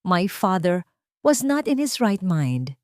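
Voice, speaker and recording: A woman's voice: fairly low pitch, sing-song, slow pace, no room sound, clean studio sound. A woman voicing a proud young playboy heir in an audio story, firm and holding back anger. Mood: suppressed anger.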